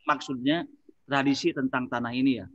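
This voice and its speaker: A man's voice: mid-range voice, normal speed, gravelly, dry recording. Dry, muffled male guest. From Indonesia. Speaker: government official, guest. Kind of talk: discussion, giving opinion.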